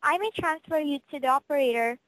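A woman with a high voice takes a customer service call. She sounds polite and formal. Her phrasing follows a careful service script. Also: fast talker, a loud crowd, poor audio.

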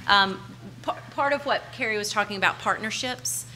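A woman's voice: medium pitch, normal speed. A woman in her thirties with an American accent moderating a panel from a podium microphone, speaking informally and unscripted. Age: 30s. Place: large conference ballroom stage. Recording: live conference PA with faint room noise.

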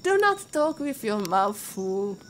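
An actress playing a patient, caring warrior mentor with a Russian accent and formal, instructive phrasing, in an audio drama. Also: female voice, mid-range voice, very animated, slow, fairly bright tone, slight background noise. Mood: warm, teasing. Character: patient female warrior mentor, former Interpol agent, caring teacher.

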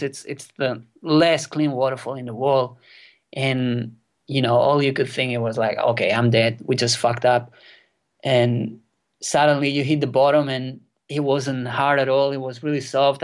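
A young man in his twenties, a non-native English speaker whose first language is Catalan, telling a wild kayaking story as a guest, excited and swearing freely. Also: mid-range voice. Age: 20s. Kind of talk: casual storytelling interview. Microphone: remote call.

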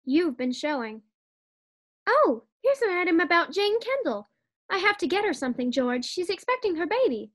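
A woman's voice: very high voice, big pitch swings, normal speed, fairly bright tone, heavily acted. A woman playing a perky, exasperated housewife with emphatic comebacks in an old-time radio sitcom.

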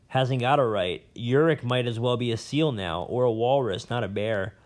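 A man's voice: mid-range voice, moderate pace, lightly acted. A man voicing a pompous, rambling old male professor in an audiobook, blustering and fussy. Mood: gloomy. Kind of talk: audiobook character voice.

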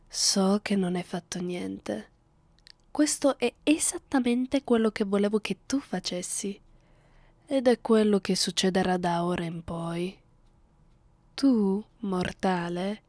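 A woman performs a centuries-old female vampire who toys coldly with a captive in an intimate ASMR roleplay. She speaks slowly and deliberately, with bored condescension, and often sinks into a whisper. The sound is close and very dry. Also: mid-range voice, dark tone, no echo. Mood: possessive, commanding. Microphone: close microphone, dry sound.

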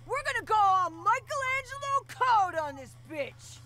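A foul-mouthed boozy brawler. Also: female voice, very high-pitched, sing-song, normal speed, bright, forceful tone, exaggerated voice. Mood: brash. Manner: crude, swearing, wisecracking.

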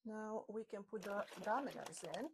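A woman's voice: mid-range voice, normal speed, a little hiss or hum. A woman in her forties hosting a woodworking tutorial, chatting through each step while she works with her hands, recorded on a clip-on mic in a home workshop. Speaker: woodworking channel host. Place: home woodworking shop with open garage door. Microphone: small clip-on mic on her shirt.

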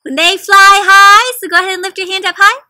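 A young woman reads a picture book aloud for small children in a high, strikingly bright voice. She is animated and teacherly, pausing to put questions straight to her young listeners. The sound is dry and close, with very little echo. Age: young adult. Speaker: children's read-aloud host. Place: outdoors in a leafy garden.

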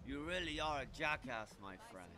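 An actor playing an irritated warrior in fantasy game dialogue, bluntly answering back to a rival. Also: male voice, a little high, animated, normal speed, natural voice. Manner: blunt, retorting.

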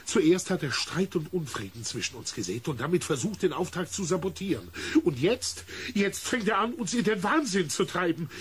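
A man's voice: sits high, lively intonation, moderate pace, slightly rough, full character voice. An actor playing a terrified, paranoid expedition member in a science-fiction radio play, agitated and stammering over his words. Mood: paranoid, accusing.

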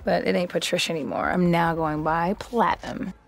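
A woman's voice: fairly low pitch, fairly even intonation, a little fast, creaky, soft background music. An actress playing a sassy, playful young woman singer in a video game cutscene.

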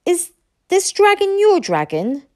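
A woman's voice: mid-range voice, very animated, slow pace, no room sound, clean studio sound. A woman voicing a pompous, blustering Viking chief and father in a storybook reading. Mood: anxious, hesitant. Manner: blustering, loud commands. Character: gruff, bellowing Viking chief father, pompous and easily impressed.

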